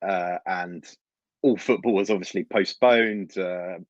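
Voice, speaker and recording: A man's voice: deep voice, fairly even intonation, a little slow, no echo. A man from London with a London accent, giving conversational answers as a guest football journalist, on a slightly dull microphone.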